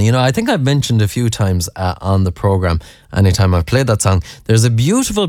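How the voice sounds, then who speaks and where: An Irish man presenting on the radio, with a fairly low voice that rises and falls a lot as he speaks. He chats in a rambling, sentimental way and reads poems to his listeners. The sound is dry and close, with no room around it. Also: a little mellow, no echo. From Ireland. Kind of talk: radio show chat and poem reading.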